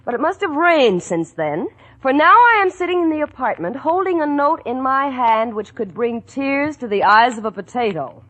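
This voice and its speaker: A woman's voice: high-pitched, big pitch swings, moderate pace, fairly bright tone, heavily acted. A woman playing a sensible, exasperated young roommate who narrates with dry wisecracks in a 1940s radio comedy. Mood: wry, exasperated. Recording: noisy old radio broadcast.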